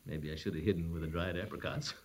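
A man's voice: deep voice, fairly even intonation, fast talker, a little hiss or hum, lightly acted. An actor playing a pompous, blustering middle-aged bachelor uncle in a 1940s radio comedy, chuckling to himself as he talks. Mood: muttering. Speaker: comic lead in a radio sitcom.

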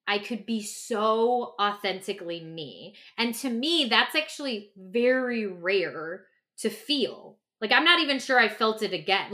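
A woman co-hosting a podcast in a casual, reflective conversation, talking in an animated, informal way with lots of "like". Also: mid-range voice. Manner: animated, informal, peppers talk with "like". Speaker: podcast co-host.

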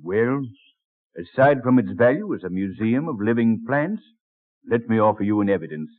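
A man acting a dignified, genial colonial gentleman in a vintage radio play. His delivery swings freely in pitch, courteous and earnest, through a thin, narrow old broadcast sound. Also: animated, normal speed, natural voice.

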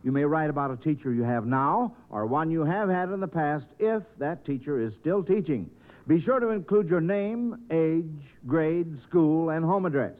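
A man hosting an old-time radio quiz show, addressing children in an animated, folksy, encouraging way. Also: a little high, moderate pace. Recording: old radio broadcast, thin phone-like band.

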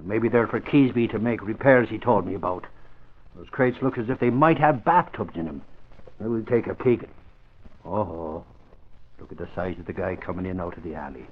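An actor playing a hot-headed, slangy newspaper reporter in an old-time radio drama, gruff and indignant. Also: male voice, fairly low pitch, moderate pace, close to an everyday voice. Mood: grumbling, wary. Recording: muffled, noisy vintage radio recording.

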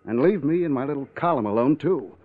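A bitter, taunting newspaperman played by a man in a vintage radio drama. His pitch swings widely as he scorns the person he faces, and the recording is narrow and tinny like a phone line. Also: animated, fairly quick, lightly acted. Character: bitter, scornful male newspaper columnist confronting a woman. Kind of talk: 1940s radio drama acting. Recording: muffled narrow-band old radio recording.

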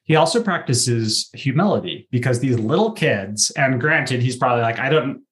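Male podcast co-host, medium pitch, casual geeky chat, acts out bits of dialogue as he goes. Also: expressive, normal speed, fairly bright tone.

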